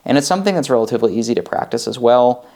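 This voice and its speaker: A man's voice: medium pitch, animated, very fast, warm tone. An American man giving an informal instructional talk as a presenter, explaining methodically with examples. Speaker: presenter of prepping advice videos.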